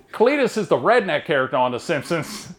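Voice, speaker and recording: A man with a Southern-sounding drawl, lively pitch. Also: moderate pace. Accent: Southern-sounding American drawl. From Maryland, near Baltimore. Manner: self-deprecating, deadpan delivery of punchlines. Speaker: stand-up comedian. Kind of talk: stand-up comedy set.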